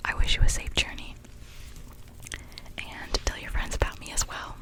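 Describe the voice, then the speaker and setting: A young woman playing a gentle, welcoming witch in a soft, soothing whispered ASMR roleplay. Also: normal speed, close to an everyday voice. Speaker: ASMR performer.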